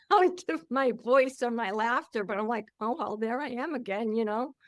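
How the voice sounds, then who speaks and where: A middle-aged woman hosting a podcast, talking in a candid, reflective way with a loud voice that carries. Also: fairly high pitch, normal speed, no echo. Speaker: podcast host. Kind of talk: casual interview chat.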